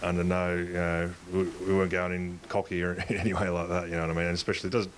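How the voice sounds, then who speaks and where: A man, a football coach answering interview questions in a halting way, with many fillers and restarts. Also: sits low, level tone, a little hiss or hum. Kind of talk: post-match interview.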